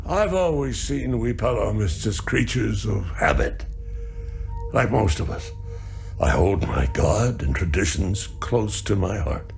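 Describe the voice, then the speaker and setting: An actor playing a grieving, devout villager, reflective and measured in a dramatic video game monologue. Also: male voice, on the low side, animated, creaky, darkish tone, faint music behind. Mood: solemn, reflective. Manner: slow, measured, reflective delivery. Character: grieving, devout male villager recalling his sons and faith.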